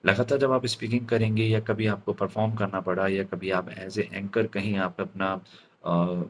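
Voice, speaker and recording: A man with an Indian accent giving an instructional lecture as a speaking coach, in deliberate, halting phrases with frequent check-in questions. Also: on the low side, flat delivery, slight background noise.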